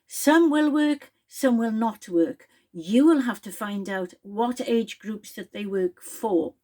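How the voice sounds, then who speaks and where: A middle-aged woman from Wales with a Welsh accent, a native Welsh speaker, speaking to camera in English as a project leader in a slow, deliberate and clearly enunciated way. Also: a little high, warm tone. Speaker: project leader addressing participants. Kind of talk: direct-to-camera address.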